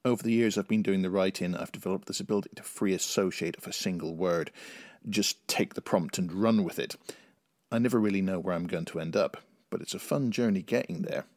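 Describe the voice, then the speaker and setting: A man's voice: low-pitched, a little fast, a little mellow, dry recording, studio quality. A middle-aged man from Northern Ireland, speaking as a writer and podcast guest. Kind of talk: interview answers and reading own short story.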